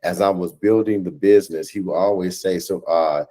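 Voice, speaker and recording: Low-voiced American man, earnest and halting. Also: steady tone, warm tone. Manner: earnest, halting, repeats phrases for emphasis. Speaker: guest, stage play cast or crew member. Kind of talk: reflective conversational interview. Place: indoors, on a video call. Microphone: video call microphone.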